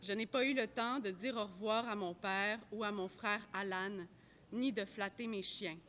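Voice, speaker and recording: A woman reading a report aloud at a public event, in a measured, careful way that sometimes trips over names. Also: mid-range voice, steady tone, a bit bright, a little hiss or hum. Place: art gallery at a live event.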